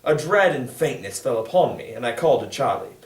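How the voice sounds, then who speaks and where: A young man giving an earnest, formal and expressive dramatic reading of literary narration. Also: medium pitch, a little fast. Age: young adult. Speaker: student reader. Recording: echoey room, distant microphone.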